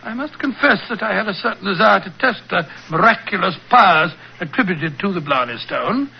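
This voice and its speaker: An actor playing an elderly, genial British doctor who recounts old adventures in a hesitant, avuncular way, in 1940s radio style. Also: male voice, sits high, animated, a little fast, gravelly, husky, full character voice. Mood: earnest storytelling. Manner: avuncular, hesitant, slightly fussy storyteller. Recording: muffled old-time radio recording.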